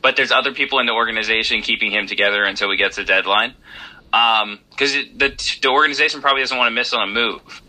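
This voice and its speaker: An American man giving casual sports analysis as a fan, in a measured, speculative way. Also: medium pitch, bright, forceful tone, poor audio.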